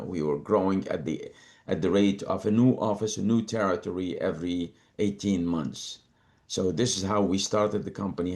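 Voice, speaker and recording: An older man from Lebanon, a company co-founder talking as a guest in an interview, measured and prone to repeating words as he gathers his thoughts. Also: deep voice, darkish tone. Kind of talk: interview conversation.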